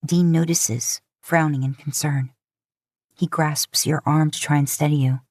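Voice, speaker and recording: A woman's voice: sits low, fairly even intonation, measured pace, darkish tone, no echo, studio quality. A woman reading scripted fiction as an audiobook narrator.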